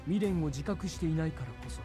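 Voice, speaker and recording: A man's voice: on the high side, very fast, close to an everyday voice. An actor voicing a terse, cold young man who speaks sparingly in a visual novel. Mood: cool. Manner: clipped, sparing with words. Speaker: game voice actor. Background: game music.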